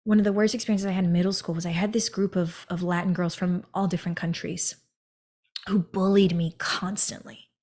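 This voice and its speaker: A woman hosting a podcast, telling her own story in an earnest monologue and often turning to the listener with a quick "right?". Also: fairly low pitch, flat delivery, a little mellow. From United States. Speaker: podcast host.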